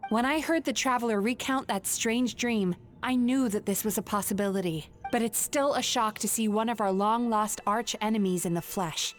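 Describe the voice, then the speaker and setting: A woman playing a calm, knowing young dream-eating yokai in an anime video game, explaining in measured lines. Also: on the high side, soft background music, natural voice. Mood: grave, knowing.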